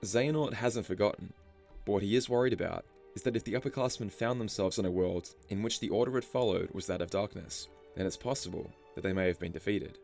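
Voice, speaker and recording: A man reading scripted story explainer narration in a measured, storytelling delivery. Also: fairly low pitch, creaky, darkish tone. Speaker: video narrator. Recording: music behind the voice. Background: background music.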